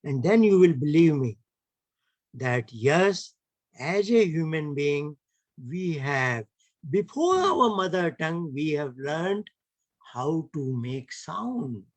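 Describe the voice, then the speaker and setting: A man's voice: on the high side, big pitch swings, slow, dry recording. A man with an Indian English accent giving an online lecture, emphatic and often closing points with rhetorical tag questions. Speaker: lecturer at an online heritage camp.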